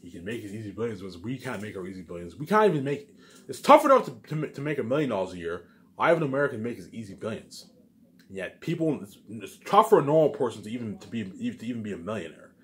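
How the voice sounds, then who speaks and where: A man's voice: fairly low pitch, sing-song, fast. A man giving a rambling, casual monologue as a video maker, insistent, boastful and often repeating himself. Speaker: video maker talking to viewers.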